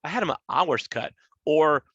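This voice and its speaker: A man's voice: on the high side, lively intonation, a little slow, fairly bright tone, no echo, lo-fi sound. A man in his forties giving an informal, conversational webinar presentation on workplace law, explaining and rephrasing points as he goes. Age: 40s. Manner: conversational, checks in with "right?". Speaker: government agency presenter.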